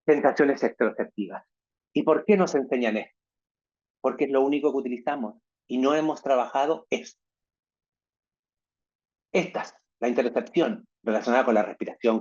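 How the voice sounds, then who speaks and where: Husky male lecturer. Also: mid-range voice, moderate pace, a little mellow, muffled recording. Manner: peppers talk with tag questions. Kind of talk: online lecture to teachers.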